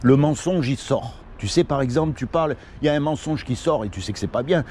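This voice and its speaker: A man's voice: mid-range voice, fast, darkish tone, a little hiss or hum. A man from Morocco doing casual one-on-one street preaching, earnest and pleading, full of everyday examples. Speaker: street evangelist. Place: outdoors on a city street.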